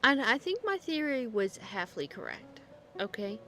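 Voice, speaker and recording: A woman's voice: on the high side, sing-song, normal speed, pushed and bright, soft background music. A woman streaming a game, giving casual, exclamatory live reactions in an informal way.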